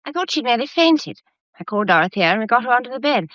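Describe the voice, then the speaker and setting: An actress in a radio drama playing a concerned, well-mannered daughter of the house, speaking earnestly and politely. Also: female voice, fairly high pitch, very animated, fast talker, no echo. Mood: subdued, explaining. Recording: slightly dull, dry studio sound.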